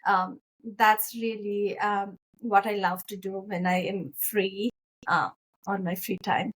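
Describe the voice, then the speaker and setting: This is a middle-aged woman with a Nepali accent. Her voice sits at an average pitch for a woman. She talks in a casual, conversational way, as a physician answering an interviewer's questions. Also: fairly even intonation, takes it slowly, husky, low-quality recording. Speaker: guest, physician.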